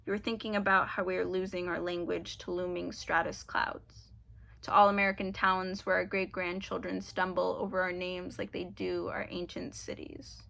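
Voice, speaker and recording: A woman performing her spoken word poem in a deliberate, rhythmic delivery over a video call from home. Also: fairly low pitch, level tone, creaky, fairly bright tone. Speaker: poet.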